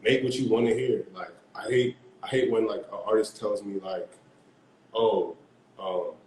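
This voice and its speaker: Low-voiced young man, laid-back and rambling. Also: steady tone, moderate pace, darkish tone, reverberant, a little hiss or hum, rough recording. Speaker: artist-producer guest. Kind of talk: casual video-call interview. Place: small room at home. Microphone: video call.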